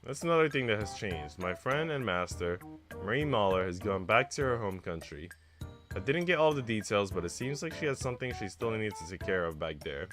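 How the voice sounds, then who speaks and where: A man's voice: fairly low pitch, expressive, a little fast, music in the background. A man reading a visual novel aloud and chatting casually about games as a streamer. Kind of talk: casual read-aloud and game chat.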